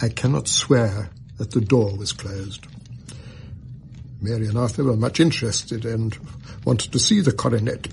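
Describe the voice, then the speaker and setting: An actor playing a distressed middle-aged Victorian banker and father in a radio drama, with a formal, halting, earnest delivery. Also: medium pitch, vocal fry, darkish tone.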